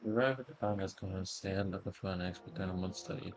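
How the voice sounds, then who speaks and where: A man's voice: low-pitched, fast, music in the background. A man doing a casual video game playthrough, commenting and reading the on-screen text aloud. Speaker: game streamer.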